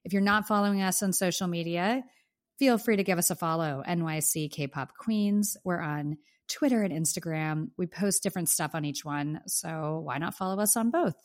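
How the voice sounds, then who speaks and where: A woman with a lowish voice hosts a casual podcast while getting over the flu. She talks in a chatty, grateful way, and now and then she coughs. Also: normal speed. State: sick with the flu, may cough. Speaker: podcast co-host. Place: home in Los Angeles.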